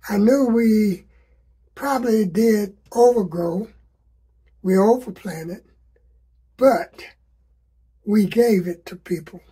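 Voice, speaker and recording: Hoarse, slow-talking man. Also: sits high, darkish tone. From South Carolina. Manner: slow, folksy, repeats sayings to drive a point home. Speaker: gardening vlogger. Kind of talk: casual advice to viewers.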